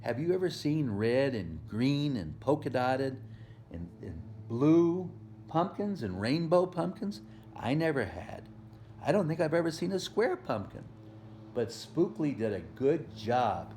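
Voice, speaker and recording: A man reading a picture book aloud to young children as a volunteer storyteller, gently explaining things and asking the listener questions in simple words. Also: medium pitch, sing-song, measured pace, slight background noise.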